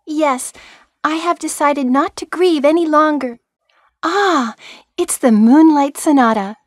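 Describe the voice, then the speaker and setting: Very high-pitched, eager rookie medic. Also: female voice, takes it slowly, no echo, studio quality. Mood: wistful, polite. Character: eager, girlish young woman rookie medic. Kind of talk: stilted 1990s video game voice acting.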